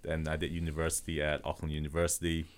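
Low-voiced guy, slight malaysian accent, thinks out loud, earnest, casual podcast chat. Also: completely flat delivery. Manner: earnest, halting, thinks aloud. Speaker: guest, robotics business founder.

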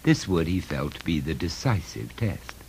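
A man reading a horror short story as scripted narration, in a measured, deliberate and dramatic storytelling manner. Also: sits low, very animated, a little mellow, a little hiss or hum. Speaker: story reader.

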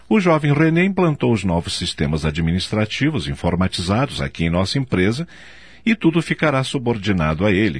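An actor playing a self-assured, commanding company director in a radio soap opera, speaking formally as if giving a speech. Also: male voice, low-pitched, breathy edge, no room sound, full character voice.

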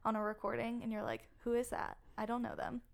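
A woman's voice: on the high side, level tone, brisk, creaky. A woman chatting casually on a podcast, giggly and self-conscious. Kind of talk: casual chat.

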